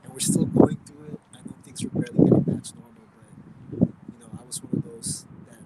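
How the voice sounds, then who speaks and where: A man in his thirties from the Philippines, raised in California, chatting casually in an outdoor interview, relaxed and rambling. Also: fairly quick. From Philippines, raised in Oxnard, California. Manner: relaxed, rambling, with many fillers and restarts. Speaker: interview guest. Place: outdoors by a bay, traffic nearby. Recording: loud outdoor street noise.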